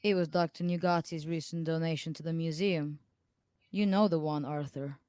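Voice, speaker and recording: A man voicing an impatient, sharp-tongued young woman in an audiobook. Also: a little high, steady tone, normal speed, clean tone, no echo, clean studio sound, pitched above the performer's own voice, lightly acted. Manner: impatient and clipped. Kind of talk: audiobook character voice.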